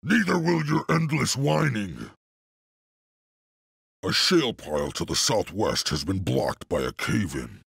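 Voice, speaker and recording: A man's voice: on the low side, very animated, measured pace, gravelly, fairly bright tone, slightly rough, no echo, clean studio sound, heavily acted. A man voicing a cruel, bullying taskmaster in a video game, sneering and domineering as he gives orders. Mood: threatening. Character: cruel, bullying serpent-clan slave taskmaster. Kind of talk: theatrical video game villain acting.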